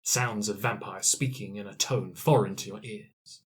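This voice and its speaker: Hushed game master setting the scene. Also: low-pitched, steady tone, moderate pace. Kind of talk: improvised tabletop game narration.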